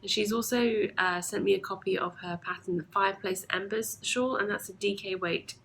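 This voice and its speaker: A woman's voice: medium pitch, normal speed, slight background noise, low-quality recording. A woman hosting a knitting video podcast from her home, chatting casually with lots of ums, slightly sniffly from allergies. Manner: chatty, with frequent ums and soft asides. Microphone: camera mic.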